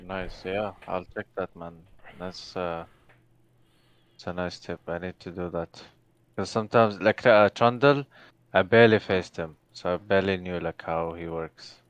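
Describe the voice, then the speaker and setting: A man's voice: deep voice, a little slow, no echo. A man receiving coaching, answering briefly and agreeably in casual chat over a voice call. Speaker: coaching student.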